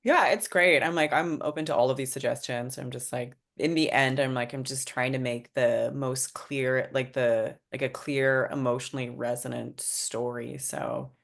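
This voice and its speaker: A woman screenwriter chatting casually and reflectively, finding her words in halting bursts. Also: extremely low pitch, steady tone, gravelly.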